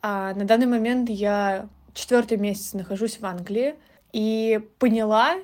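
A young woman recording a voice message for a podcast, describing her experience in a reflective, steady way. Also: medium pitch. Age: young adult. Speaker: listener sending a voice message.

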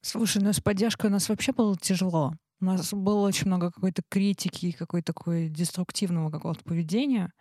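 A woman's voice: fairly low pitch, steady tone, fast, hardly any pauses, dark tone, no room sound. Woman in thoughtful casual talk, dry sound. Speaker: talk show co-host. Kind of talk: casual reflective conversation.

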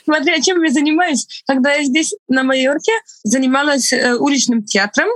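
Fairly high-voiced woman, estonian first language, mixed foreign accent, laughs a lot, dry close sound. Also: fairly bright tone, no room sound. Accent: foreign, mixed from several languages. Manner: laughs often, thoughtful with halting restarts. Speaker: guest. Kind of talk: relaxed conversational interview.

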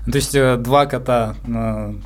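A woman's voice: rumbling low, steady tone, a little slow, slight background noise. A woman interviewer speaking casually as she puts questions to her guests.

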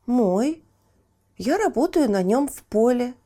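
A woman's voice: a little high, sing-song, slow pace, dark tone, pitched above the performer's own voice. Woman acting young village boy, fairy tale, stubborn, honest, plain-spoken, varied intonation. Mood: firm, defiant.

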